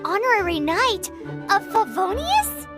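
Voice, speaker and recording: A woman playing a tiny, excitable childlike fairy companion in a video game, speaking in cute exclamations. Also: very high voice, measured pace, music in the background, heavily acted. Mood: indignant, amazed.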